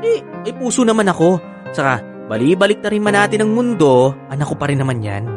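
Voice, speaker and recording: Sassy, animated Filipino co-parent played by an actress. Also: female voice, lowish voice, a little fast, music in the background. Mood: matter-of-fact, caring. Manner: sassy, scolding, dismissive. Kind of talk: Filipino audio drama acting.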